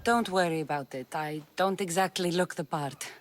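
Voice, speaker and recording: A woman's voice: on the low side, normal speed, natural voice. An actress playing a dry-witted female warrior mercenary who talks in a dry, sardonic way in a video game cutscene.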